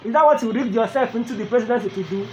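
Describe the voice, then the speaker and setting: High-voiced Nigerian man pleading. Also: very fast, darkish tone, highs cut off, a little hiss or hum. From southeastern Nigeria. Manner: pleading, repetitive rhetorical questions. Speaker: commentator. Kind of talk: impassioned political monologue.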